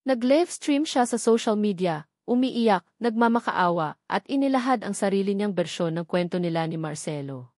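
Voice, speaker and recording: A woman's voice: mid-range voice, lively intonation, normal speed, a little mellow, no echo, clean studio sound. A woman narrating a scripted, dramatic romance story as a storyteller.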